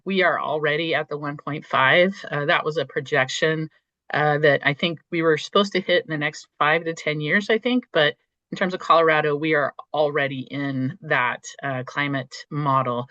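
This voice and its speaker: A meeting host with a cold and a croaky voice, giving enthusiastic remarks and halting often with fillers over a video call. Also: medium pitch, steady tone, pushed and bright, dry recording, muffled recording. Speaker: meeting host from a state forestry agency.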